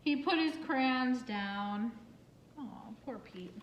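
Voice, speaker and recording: Slow, high-pitched woman reading to children. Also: dull audio, slight background noise. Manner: expressive, singsong, asks listeners questions. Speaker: children's librarian reading picture books. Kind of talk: animated storytime read-aloud with asides to young listeners. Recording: roomy, echoing room sound.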